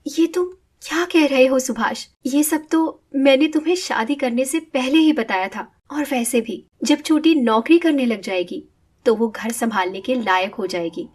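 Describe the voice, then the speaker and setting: A devoted young daughter in a cartoon moral story. Her voice sits fairly high, and she speaks earnestly, pressing her point. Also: measured pace, darkish tone. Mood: firm protest. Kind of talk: animated moral story dialogue.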